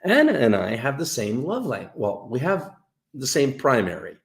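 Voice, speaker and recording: A man hosting a casual dating-advice livestream, explaining things in a halting, repetitive, emphatic way to viewers. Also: medium pitch, huge pitch swings, gravelly. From Canada. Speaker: host of a live dating-advice show. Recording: roomy, echoey sound.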